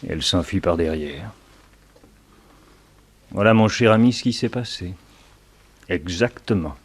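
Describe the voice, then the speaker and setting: An actor playing a scheming, taunting crook in a crime radio drama, speaking with deliberate, sardonic emphasis. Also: male voice, low-pitched, expressive, breathy edge, heavily acted. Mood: gloating. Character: scheming male blackmailer, sly, taunting crook.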